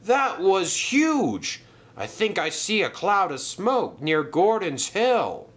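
Guy doing a grumpy crane for a kids story, dismissive and muttery, pitch swings a lot. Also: huge pitch swings, slow pace, a bit bright, exaggerated voice. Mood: startled. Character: gruff, grumpy male dock crane, careless and scornful.

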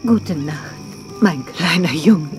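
A woman's voice: low voice, lively intonation, slow, over music. A woman playing a caring, solemn old grandmother dinosaur in a children's audio drama. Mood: gentle, resigned.

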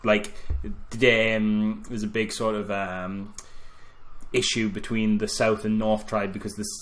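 A man's voice: on the low side, little pitch movement, unhurried, a bit bright. A man co-hosting a casual film review podcast, trading jokes and laughing at his own bits. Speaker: podcast co-host.

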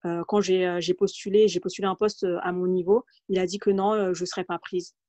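A woman's voice: fairly low pitch, level tone, a little fast, warm tone, dry recording. A woman in her thirties from the Paris region, telling her career story as an interview guest and speaking hesitantly, with many fillers and restarts. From France, Paris region. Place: home room, video call. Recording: dull, lo-fi video call audio.

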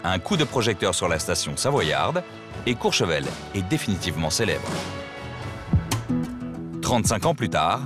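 A man reading scripted documentary narration in a measured storytelling way. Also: sits low, sing-song, music in the background.

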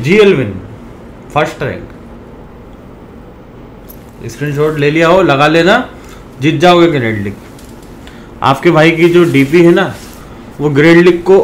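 A man's voice: on the high side, a little hiss or hum, low-quality recording. A man from India with a sore throat, talking casually to his viewers, calling them brothers and ranting now and then. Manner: chatty, addresses viewers as brothers, animated rants. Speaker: fantasy cricket YouTuber.

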